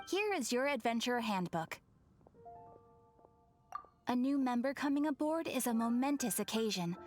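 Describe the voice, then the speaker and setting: High-voiced, courteous guild receptionist. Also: female voice. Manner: formal, courteous, measured explaining. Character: polite young female guild receptionist, welcoming and formal. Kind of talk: video game voice acting. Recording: clean voice over game music.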